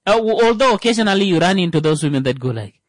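A man's voice: sits high, expressive, rapid-fire, no echo, clean studio sound. A man hosting a radio talk show, joking and rambling with many fillers. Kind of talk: comedic monologue.

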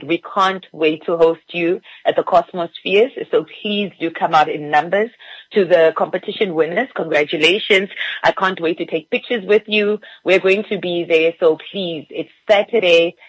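A woman's voice: on the low side, steady tone, moderate pace, no echo, poor audio. A woman from Namibia, a PR guest, talking enthusiastically on a radio interview with fillers.

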